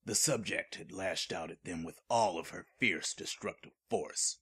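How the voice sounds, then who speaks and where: A man playing a grave narrator of an audio horror drama, reading scripted, dramatic narration in a deep, husky voice. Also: moderate pace, bright, forceful tone, exaggerated voice. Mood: ominous.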